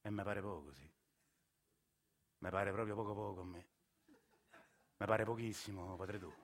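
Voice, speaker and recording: A man's voice: fairly low pitch, moderate pace. A male stage actor playing Agostino, a put-upon, desperate working man with a Roman accent, colloquial and plaintive. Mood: bitter, pleading. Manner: colloquial, pleading, exasperated. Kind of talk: theatrical monologue with several characters.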